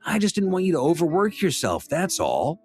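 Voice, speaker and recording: A man's voice: a little high, wildly swooping pitch, brisk, darkish tone, soft background music. A man playing a jovial, easygoing knight commander in an anime game, hearty, informal and teasing. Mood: warm, caring.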